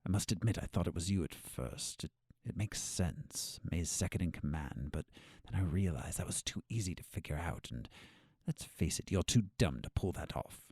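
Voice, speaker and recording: An actor in an audio drama playing a scheming, sardonic captive doctor with a condescending, drawn-out delivery. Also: male voice, deep voice, expressive, a little mellow, breathy edge, no echo, studio quality, exaggerated voice. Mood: smug, conspiratorial.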